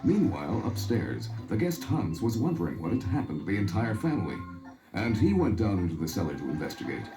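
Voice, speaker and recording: A man's voice: on the low side, wildly swooping pitch, brisk. A man narrating a children's fairy tale expressively on an echoey old story record, with music behind him.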